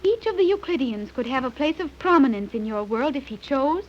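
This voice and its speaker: Prim young woman, high voice, formal careful diction, muffled vintage radio serial. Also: animated, a little fast. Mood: measured. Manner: stilted, precise, formal diction. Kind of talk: 1930s radio serial acting. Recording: dull vintage 1930s radio recording.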